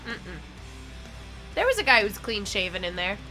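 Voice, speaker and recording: A woman's voice: medium pitch, big pitch swings, moderate pace, sharp tone, soft background music, poor audio. A young woman reacting to metal songs in casual chat, playful and teasing, often giggling. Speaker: co-host reacting to music.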